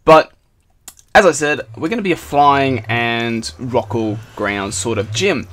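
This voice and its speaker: Aussie guy hosting a game vid, bit hoarse, rambly and upbeat, lots of ums. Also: medium pitch, unhurried, a bit bright. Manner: rambling, upbeat, many fillers and restarts. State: slightly hoarse from shouting. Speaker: gaming channel host. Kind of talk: casual game commentary.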